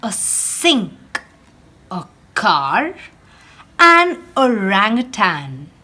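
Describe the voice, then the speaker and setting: Slow, lively, husky woman reading aloud. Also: mid-range voice. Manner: slow, expressive storytelling with dramatic emphasis; speaks directly to young listeners. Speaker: teacher. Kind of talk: reading a picture book aloud to children.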